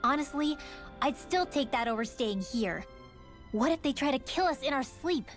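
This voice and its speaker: A woman's voice: sits high, expressive, moderate pace. An actress playing a wary, spirited young female adventurer in a video game, casual and questioning. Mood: distrustful. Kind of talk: video game cutscene acting. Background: music.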